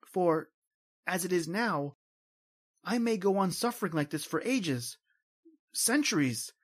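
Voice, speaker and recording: An actor playing a tormented, invisible male spirit in an old-time radio horror play, with dramatic, anguished, halting delivery. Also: sits high, animated. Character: tormented invisible male spirit wandering his haunted house.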